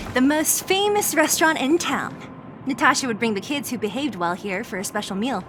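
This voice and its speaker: Brash, sardonic young woman for a game role, high and very animated, music underneath. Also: normal speed. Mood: teasing. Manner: blunt, sardonic. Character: brash, guarded young woman from a slum town. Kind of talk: video game voice acting.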